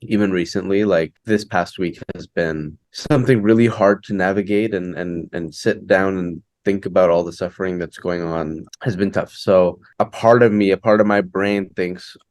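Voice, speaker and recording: A man, a guest poet answering interview questions thoughtfully, often pausing and restarting as he thinks aloud. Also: low voice, steady tone, darkish tone, no echo. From Hamilton, Ontario, Canada. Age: late 20s. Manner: reflective, thinks aloud with many fillers and restarts. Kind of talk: conversational interview.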